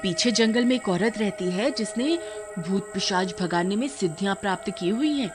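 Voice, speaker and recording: A woman's voice: mid-range voice, moderate pace, over music, natural voice. A woman voicing a frightened but resourceful village wife in a horror-comedy story, urgent and explanatory. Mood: earnest.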